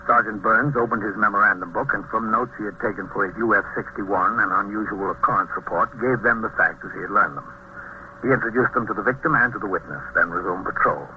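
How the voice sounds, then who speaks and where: An actor playing a courteous, businesslike American police captain who narrates and questions in a clipped, matter-of-fact way in 1950s radio drama style. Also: male voice, on the low side, fast talker, close to an everyday voice. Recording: dull, noisy vintage radio recording.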